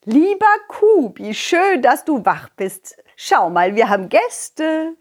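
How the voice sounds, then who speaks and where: A woman's voice: high-pitched, sing-song, voice pushed up, heavily acted. A woman voicing a cheerful, hospitable king in a children's story, full of exclamations and stretched words. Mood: scolding fondly. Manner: exclamatory, leisurely, drawn-out words. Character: cheerful, hospitable king, fond host of a ghost.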